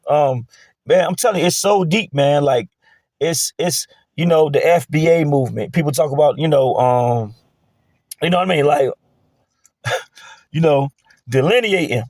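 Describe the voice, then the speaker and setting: A man's voice: on the high side, darkish tone, no echo. Man, preachy street talk, dry recording. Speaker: vlogger. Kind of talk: casual monologue to camera.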